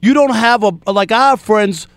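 Hohe Männerstimme, trocken aufgenommen. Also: male voice, high voice, moderate pace, dry recording, clean studio sound. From Jamaica, Queens, New York. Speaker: radio co-host. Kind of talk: casual radio interview.

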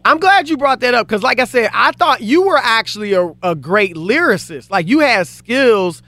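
A man co-hosting a radio show, interviewing a guest in a brisk, casual way. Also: sits high, sharp tone, no echo. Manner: brisk, with frequent "right". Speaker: radio co-host.

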